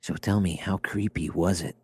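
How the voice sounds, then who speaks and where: A man making a prank phone call with deliberate, creepy insinuation. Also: sits low, steady tone, breathy edge, dry recording. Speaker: prank caller. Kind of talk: prank phone call in character as a film slasher.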